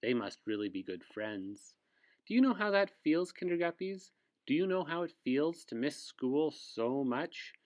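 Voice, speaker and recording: A man's voice: fairly high pitch, big pitch swings, measured pace. A man who works as a teacher reads a picture book aloud to young children, with asides to his listeners.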